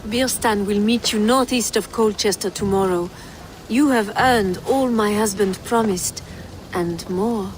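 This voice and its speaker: An actress plays a passionate Frankish noblewoman with a French accent, poetic and yearning for a new life. She speaks in game cutscene style at a middle pitch, with faint ambient noise behind her. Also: measured pace, close to an everyday voice, low-quality recording. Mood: yearning, seductive. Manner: romantic, poetic. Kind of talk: video game cutscene acting.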